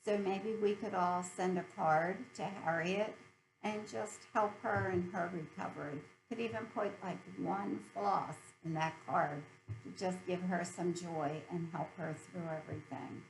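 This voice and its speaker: A woman's voice: lowish voice, steady tone, a little slow, gravelly, slight background noise, rough recording. A middle-aged American woman chatting casually and cheerfully to viewers as host of a hobby video channel.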